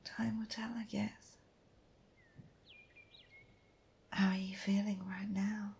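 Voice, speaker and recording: A woman performing a gentle, nurturing fantasy forest companion in a soothing, unhurried, whispered ASMR roleplay. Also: mid-range voice, flat delivery, a little hiss or hum, natural voice. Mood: gentle, pensive. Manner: soothing, unhurried, softly breathy.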